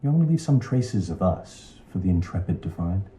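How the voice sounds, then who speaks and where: A man's voice: low voice, very animated, moderate pace, dark tone, a little hiss or hum. A man playing a game creator, thinking aloud in hesitant, casual conversation. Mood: casual, musing. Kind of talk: casual natural dialogue. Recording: dull, echoey room.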